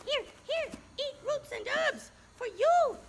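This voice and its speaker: Shrill, excitable jabbering beast creature. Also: female voice, slow, exaggerated voice. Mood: eager. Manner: broken repetitive speech, fervent exclamations. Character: excitable jabbering half-human beast creature, eager and simple-minded. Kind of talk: live stage radio drama. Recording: noisy live hall recording with crowd.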